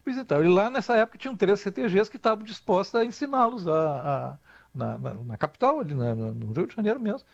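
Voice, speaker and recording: A man's voice: sits high, big pitch swings, fast, vocal fry, no room sound. An older man from Brazil, a guest talking in a rambling way, restarting often and adding "tá?" tags. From Rio Grande do Sul, Brazil. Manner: rambling, lots of restarts and "tá?" tag questions. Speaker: guest expert. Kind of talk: conversational interview. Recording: dull lo-fi dry sound.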